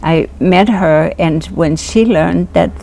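Older woman, interview guest; low, gravelly, halting delivery. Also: darkish tone, slight background noise. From Finland. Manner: hesitant, many pauses and fillers. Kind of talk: personal testimony interview.

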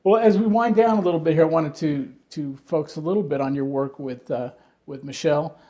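A male interviewer with a somewhat higher voice leads the conversation. He keeps it going with short, friendly reactions and steers toward new topics with easy, plain phrasing. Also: brisk, warm tone, dull audio. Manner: friendly, encouraging backchannels.

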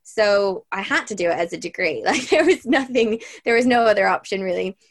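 A woman's voice: medium pitch, animated, fairly quick, slight background noise. A young woman from Ireland with a mixed Irish accent that comes and goes, chatting casually as an interview guest and laughing often as she talks. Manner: chatty and giggly, often laughing mid-sentence.

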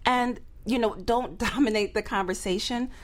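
A woman's voice: a little high, moderate pace. A woman guest giving business advice conversationally, often through rhetorical questions. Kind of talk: casual advisory chat.

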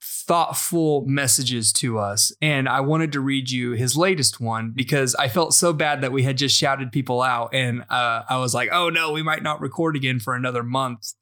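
Man, podcast host, casual chat, medium pitch, self-correcting delivery. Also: normal speed, few pauses, sharp tone. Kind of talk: casual podcast chat, reading out a listener message.

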